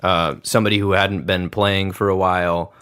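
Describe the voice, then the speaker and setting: A man's voice: low-pitched, little pitch movement, moderate pace, a bit bright, smooth. A man hosting a podcast, musing aloud in a thoughtful, reflective way. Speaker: podcast host, grandson of the pianist. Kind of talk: conversational interview.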